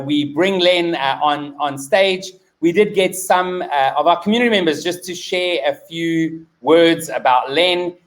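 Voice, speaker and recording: A man hosting a live online interview, speaking with halting, hesitant phrasing. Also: on the high side. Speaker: host.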